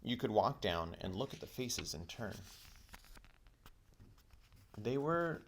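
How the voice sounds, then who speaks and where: A man reading a children's book aloud as a teacher would, in expressive storybook narration. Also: low voice, moderate pace, slight background noise, low-quality recording.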